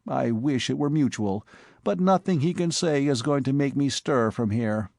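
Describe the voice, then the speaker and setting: A man voicing a calm, witty young gentleman and former burglar with dry, ironic speech. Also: medium pitch, normal speed, creaky, no room sound, lightly acted.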